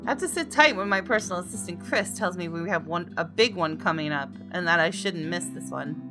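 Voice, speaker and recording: A woman's voice: lowish voice, lively intonation, fairly quick. A woman game streamer reading visual novel text aloud, dramatically and with playful outbursts. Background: game music with guitar.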